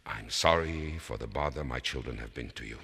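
A man voicing a tired, gentle but firm father of young children in a story told aloud. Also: a bass voice, brisk, vocal fry, breathy edge, exaggerated voice. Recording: long reverberant hall.

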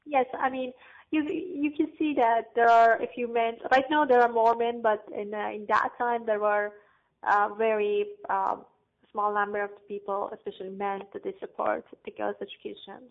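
A woman from Afghanistan, not an English speaker, answering interview questions haltingly with many pauses and fillers. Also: on the high side, level tone. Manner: halting, searching for words, frequent fillers. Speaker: guest. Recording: tinny phone-like line.

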